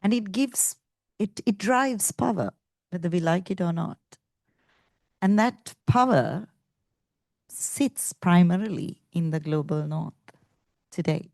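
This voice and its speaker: A woman's voice: fairly low pitch, expressive, slow, gravelly, long pauses, darkish tone, dry recording. A woman speaking English as a second language, earnestly making her point on a discussion panel. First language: not English. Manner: earnest, thinking aloud with restarts.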